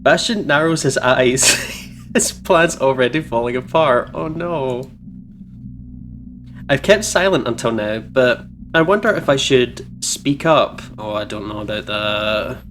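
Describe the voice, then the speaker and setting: A man's voice: mid-range voice, unhurried, a bit bright, slight background noise. A man doing a casual game playthrough, reading narration aloud and reacting with amusement. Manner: giggly, amused.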